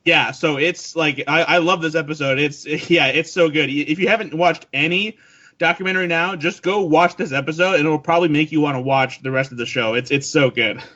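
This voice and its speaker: A man's voice: fairly high pitch, fairly quick, pushed and bright. A man on a podcast panel, talking with eager enthusiasm. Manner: enthusiastic, gushing. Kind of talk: casual podcast chat. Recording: slightly dull podcast audio.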